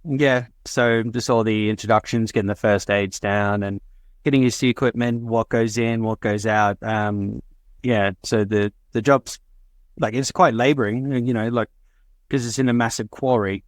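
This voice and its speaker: A man's voice: mid-range voice, steady tone, normal speed, no room sound. A man co-hosting a podcast, chatting casually and rambling with lots of fillers. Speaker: podcast co-host. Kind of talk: casual chat.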